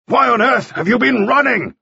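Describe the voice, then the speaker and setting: A man's voice: extremely high pitch, lively intonation, brisk, creaky, a bit bright, husky, dry recording, muffled recording, pitched above the performer's own voice, exaggerated voice. A man voicing an irascible, blustering boss in an audiobook, barking impatient demands at his servant. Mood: angry, alarmed.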